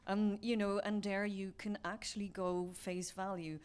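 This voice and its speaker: A woman from Belgium moderating a conference panel, explaining research in a steady way and affirming the other speakers. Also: mid-range voice, unhurried, clear, resonant tone.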